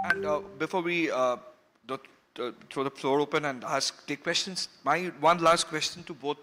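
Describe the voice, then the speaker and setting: A man moderating a panel, asking questions in a halting way. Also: on the high side, steady tone, background noise. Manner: halting, many fillers and restarts. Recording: reverberant hall.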